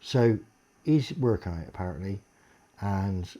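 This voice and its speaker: A deep-voiced man, in a blunt, sweary, aggrieved monologue complaining to camera. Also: expressive, a little slow, warm tone.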